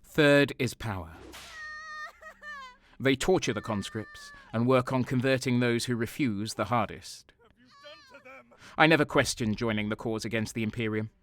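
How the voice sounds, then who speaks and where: A man's voice: mid-range voice, measured pace, long pauses, fairly bright tone, slight background noise, lightly acted. An actor playing a cynical, cold and sadistic soldier who narrates his crimes in a brooding, sardonic way in an audio drama. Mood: brooding, bitter.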